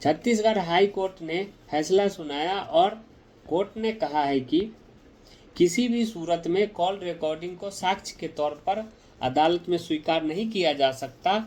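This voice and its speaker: Slow, deliberate male legal explainer. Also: sits high, darkish tone. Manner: addresses viewers directly, deliberate and explanatory. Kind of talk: informative legal explainer to viewers.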